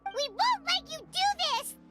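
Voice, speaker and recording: A woman voicing a tiny, childlike, earnest fairy companion in a video game, squeaky and exclamatory. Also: sing-song, unhurried, over loud music, full character voice. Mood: defiant.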